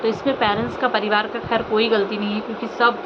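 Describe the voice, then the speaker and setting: Woman vlogger over loud background noise. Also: on the high side, little pitch movement, fast. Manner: emotive, rhetorical questions and repetition. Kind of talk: informal opinionated commentary to viewers. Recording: dull lo-fi audio, loud vehicle noise.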